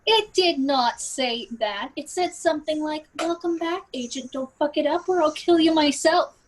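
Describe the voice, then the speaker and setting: A woman's voice: high voice, moderate pace, a little hiss or hum, lightly acted, rough recording. A woman playing a brash, foul-mouthed female secret service boss, sarcastic and scolding, in a comedy script read. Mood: exasperated.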